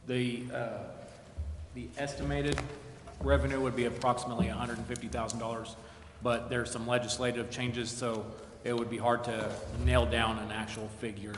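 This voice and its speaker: A man on city staff answers a council question in a flat, even voice. He speaks hesitantly, with fillers and restarts. The recording has a strong echo and long reverb, with clear background noise. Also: medium pitch, normal speed. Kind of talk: formal meeting remarks. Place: council chambers. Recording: echoey chamber with long reverb.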